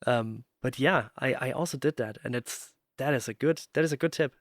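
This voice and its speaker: Man, medium pitch, podcast host, casual interview chat, dry recording. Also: brisk, no room sound.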